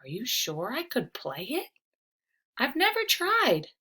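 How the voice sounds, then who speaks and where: Lady doing little storybook boy, unsure and curious, super animated, takes it slow. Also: fairly high pitch, slightly rough, pitched above the performer's own voice, heavily acted. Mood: excited, doubtful. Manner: wondering, questioning, exclaiming in storybook read-aloud.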